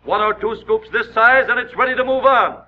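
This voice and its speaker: A high-voiced American man narrates in dramatic 1930s newsreel style. His delivery is emphatic and declamatory, like a period announcer. The soundtrack is muffled and noisy, as on old film. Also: brisk.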